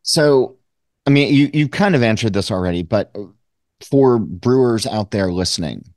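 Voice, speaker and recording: A man asking an interview guest questions, relaxed and brief. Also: lowish voice, normal speed, no echo, clean studio sound.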